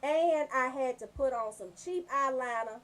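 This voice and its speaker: A woman with a Southern US accent telling comedic stories as a radio host, brash, animated and freely swearing. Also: sits high, moderate pace. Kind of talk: comedic storytelling on a casual talk show.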